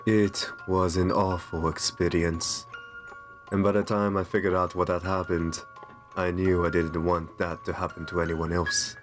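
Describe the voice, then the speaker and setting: A man playing a courteous, possessive vampire master in an ASMR roleplay, soft-spoken, soothing and reassuring with genteel endearments. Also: sits low, little pitch movement, a little slow. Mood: tender, solemn. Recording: music under the voice. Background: background music.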